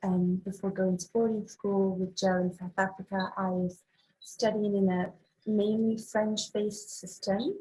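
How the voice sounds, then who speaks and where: A woman's voice: medium pitch, level tone, unhurried, warm tone, rough recording. A young woman from Morocco, a podcast guest, answering thoughtfully and measuredly with frequent ums. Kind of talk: casual interview talk.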